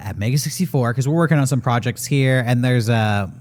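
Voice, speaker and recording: A man's voice: medium pitch, a little fast, darkish tone. A man hosting a casual podcast, asking questions in an easygoing, curious way. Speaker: podcast host.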